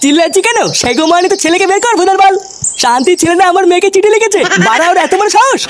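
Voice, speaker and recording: An irate father in a comic cartoon. The voice is extremely high and races along in coarse, threatening bursts. Clear noise sits in the recording. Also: expressive, rapid-fire. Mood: indignant. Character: angry, foul-mouthed father threatening a neighbour's household.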